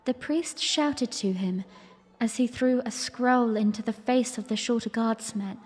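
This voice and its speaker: Woman acting a breathy young princess in long reverb. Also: on the high side, measured pace, darkish tone. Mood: curious, narrating. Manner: storytelling, formal. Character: young princess recounting memories, earnest, refined, burdened. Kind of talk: first-person dramatic narration. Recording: long reverberant hall echo.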